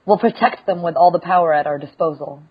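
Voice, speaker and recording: A woman's voice: lowish voice, fast, husky. A woman playing a stern armored warrior. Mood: earnest. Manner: formal, earnest. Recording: muffled lo-fi recording.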